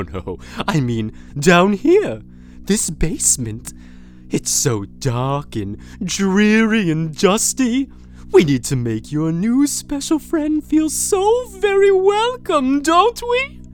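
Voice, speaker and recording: A woman playing a sweet-talking but unstable female captor in an audio drama, using syrupy baby talk that breaks into shrill screaming fits. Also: darkish tone, full character voice.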